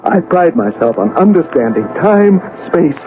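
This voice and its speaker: An American actor playing a proud young scientist, shaken and bewildered, with intense, halting delivery in a radio drama. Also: male voice, a little high, sing-song, narrow, tinny audio. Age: young adult. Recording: noisy, band-limited old radio broadcast.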